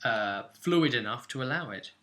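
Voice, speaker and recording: A man with a very bright, sharp voice at an ordinary pitch acts as game master. He talks informally through a tabletop role-playing recap, telling it as an animated storyteller. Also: normal speed. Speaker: game master and channel host.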